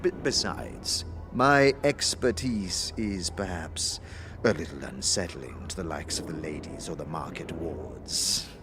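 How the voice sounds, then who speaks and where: A man's voice: a bass voice, measured pace, over music. An actor playing a courteous, shady male street mystic in a fantasy audio drama, with a formal and theatrical manner. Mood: sly, measured.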